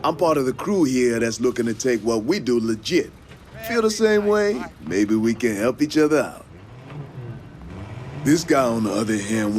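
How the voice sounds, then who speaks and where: An actor playing a cool, cocky street racing mentor in a video game cutscene, slangy and laid-back. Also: male voice, mid-range voice, a little mellow, slight background noise.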